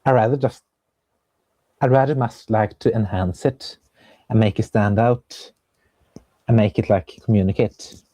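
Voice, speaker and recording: Slow, mellow young Norwegian man. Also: lowish voice, dry recording. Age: young adult. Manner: thoughtful, searching for words with many pauses and fillers. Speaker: composer and viola player, guest. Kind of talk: casual conversation.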